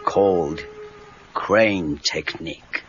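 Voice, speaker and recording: An actor playing a gruff, wise, teasing older Japanese karate teacher in a read-along cassette drama, with a Japanese accent and clipped broken English. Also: male voice, low voice, very slow, fairly bright tone, exaggerated voice. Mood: instructive. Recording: dull cassette tape with faint noise.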